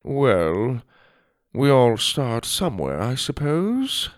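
A snobbish headmaster from a storybook, acted out by a male narrator, speaks with stretched, precise words. His pitch swoops with disdain, and there is some gravel in the voice, captured close and dry. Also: wildly swooping pitch, dry recording, exaggerated voice. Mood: snobbish, disdainful. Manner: haughty, drawn-out, precise delivery. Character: snobbish, fancy male headmaster of a manners school.

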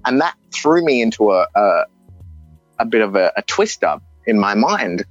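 A man's voice: lowish voice, sing-song, dry recording. A man in his thirties with an Australian accent, giving an earnest personal testimony, often restarting his sentences. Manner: halting, earnest, with frequent restarts. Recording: dull, dry recording with faint music.

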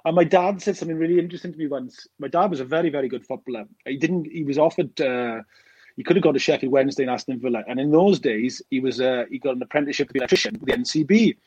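A man's voice: mid-range voice, a little mellow, husky, no echo, poor audio. A Welsh man in his forties, a documentary director, talking casually in a podcast interview and rambling with many fillers and restarts. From Wales. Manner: fast, rambling storyteller with lots of fillers and restarts.

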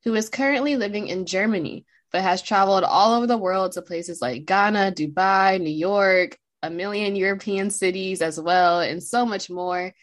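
A woman's voice: medium pitch, moderate pace, hardly any pauses, no room sound. A woman hosting a podcast, who asks her guest questions in an upbeat, friendly way. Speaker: podcast host. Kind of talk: casual interview chat.

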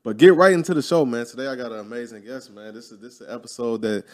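Young man, podcast host, quick easygoing delivery, slangy casual chat. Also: medium pitch, lively intonation. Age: 20s. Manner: loose, easygoing slang-filled talk.

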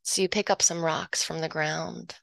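A woman leading a guided hypnosis meditation, speaking in a slow, soothing, hypnotic way and dropping softly into whispers. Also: deep voice, flat delivery, vocal fry, dry recording.

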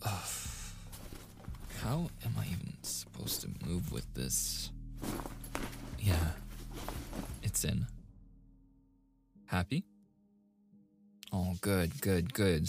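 A man's voice: low-pitched, very animated, drawn-out words, gravelly, long pauses, over music, full character voice. A man playing a playful, easily flustered young gamer boyfriend in a casual, intimate ASMR roleplay. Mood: flustered, reluctant. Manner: casual, intimate, with chuckles and sighs.

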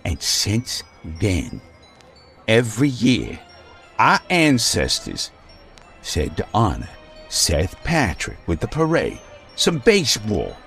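An actor playing a bumbling, folksy emcee who stammers and rambles in a comic old-time radio style. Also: male voice, on the low side, wildly swooping pitch, a bit bright, faint music behind, full character voice. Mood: rambling, folksy. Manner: halting, rambling, stammering, clears throat. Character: bumbling folksy male emcee rambling through town legend.

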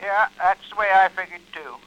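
An actor playing a folksy, plain-spoken neighbour through a telephone filter in an old-time radio drama. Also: male voice, high-pitched, normal speed, pushed and bright, dull audio. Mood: matter-of-fact, sympathetic.

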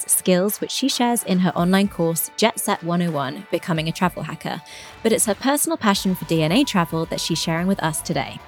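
A woman hosting a podcast, reading an introduction and asking upbeat interview questions. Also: on the low side. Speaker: podcast host.

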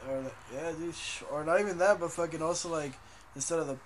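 A man's voice: fairly high pitch, fast talker, a little hiss or hum. A young man co-hosting a casual podcast, teasing his friend and asking one question after another. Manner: teasing, asks lots of questions. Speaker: podcast co-host. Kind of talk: casual profane chat.

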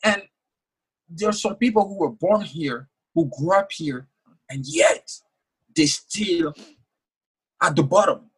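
A man's voice: high-pitched, expressive, takes it slowly, pauses often, husky, rough recording. A young man whose first language is French, speaking earnestly as an author in an interview and often repeating words while he thinks. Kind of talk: conversational interview.

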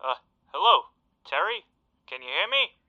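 Sharp, bright nervous phone-in caller. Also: male voice, a little high, sing-song, normal speed, heavily acted. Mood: nervous, excited. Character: nervous, eager male radio caller. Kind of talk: rambling personal anecdote. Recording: dull, lo-fi phone-in sound.

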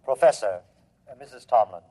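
A man's voice: mid-range voice, animated, warm tone, lightly acted. A man acting a coldly polite alien humanoid. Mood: cold, calm. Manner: formal, unhurried, emotionless. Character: cold, polite alien humanoid machine, calmly menacing. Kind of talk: old-time radio drama acting. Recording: muffled vintage radio recording.

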